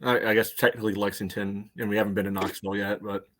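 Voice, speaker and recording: A man's voice: on the low side, little pitch movement, quick pace, fairly bright tone. An American man co-hosting a soccer podcast, talking through a match in casual, conversational analysis.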